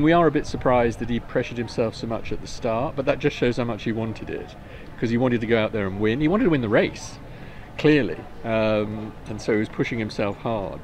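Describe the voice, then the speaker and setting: An older man, the parent of an athlete, chatting warmly in an outdoor interview at a marina. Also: medium pitch, slight background noise. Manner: warm, chatty, laughs between answers. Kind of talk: casual interview.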